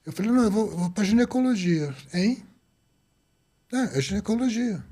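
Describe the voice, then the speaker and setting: A man in his seventies from the Azores, a doctor telling his story in an interview, lively and acting out the dialogue he recalls. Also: high-pitched, normal speed, husky. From Azores, Portugal. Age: 70s. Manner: lively, acts out quoted dialogue. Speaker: doctor guest.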